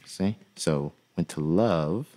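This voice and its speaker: A man with a deep voice teaching music production in a relaxed, folksy way, with many "uh" fillers. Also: takes it slowly. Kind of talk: casual software tutorial.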